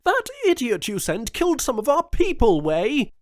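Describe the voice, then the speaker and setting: A man's voice: mid-range voice, steady tone, unhurried, no room sound, clean studio sound, lightly acted. A man voicing a furious, commanding female colony governor who leads pirates. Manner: forceful, commanding.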